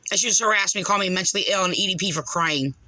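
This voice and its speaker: A woman's voice: lowish voice, very fast, bright, forceful tone, no echo. An agitated woman caller making a rambling, insistent, repetitive complaint over the phone.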